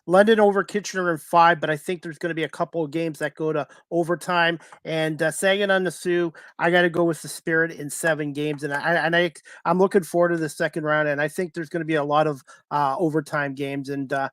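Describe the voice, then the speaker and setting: A man's voice: on the high side, steady tone, a little fast, hardly any pauses, a bit bright, dry recording, studio quality. A man hosting a sports talk show and interview.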